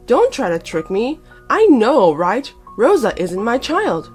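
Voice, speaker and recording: A woman voicing an angry drunken husband in a dramatised story, yelling and accusing. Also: medium pitch, animated, normal speed, faint music behind, natural voice. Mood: furious accusing.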